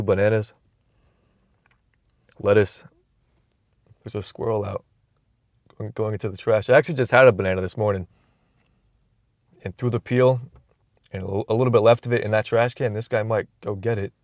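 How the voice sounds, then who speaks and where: A man's voice: lowish voice, a little mellow, dry recording. A young man vlogging from inside a car, musing aloud in a loose, rambling way with frequent restarts, recorded on a phone camera. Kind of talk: casual rambling vlog.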